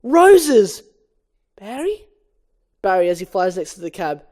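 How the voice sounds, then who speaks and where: A man's voice: sits high, steady tone, fast talker, a little mellow. A man casually reading a film script aloud as an amateur, narrating the stage directions. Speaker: script reader.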